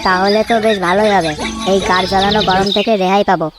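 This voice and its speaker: A woman's voice: medium pitch, steady tone, quick pace, loud music behind the voice, lightly acted. A woman voicing foul-mouthed, bickering young village lads in a comedy cartoon, crude and slangy. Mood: casual. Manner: crude, slangy, cursing constantly.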